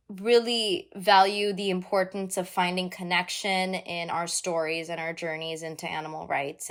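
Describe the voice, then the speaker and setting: A woman's voice: on the low side, fairly bright tone. A woman co-hosting a podcast, introducing her guest with gushing enthusiasm and then asking questions with frequent ums. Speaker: podcast co-host and interviewer.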